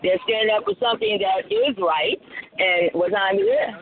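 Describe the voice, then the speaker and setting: High-voiced man streaming live outdoors. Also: lively intonation, normal speed, slight background noise. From Colombia. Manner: casual, rambling with fillers. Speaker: livestream street interviewer. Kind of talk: live street reporting. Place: outdoors on a city street. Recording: tinny phone-like livestream audio.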